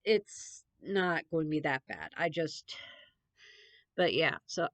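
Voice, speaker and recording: A woman's voice: low-pitched, sing-song, takes it slowly, no room sound. A young adult woman, a little congested, chatting in a rambling, meandering way with many fillers as a podcast host. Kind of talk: rambling casual chat.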